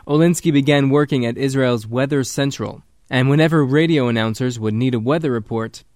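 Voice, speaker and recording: A male radio reporter reading a scripted news story in an even, clear manner. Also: medium pitch, brisk, dry recording, clean studio sound.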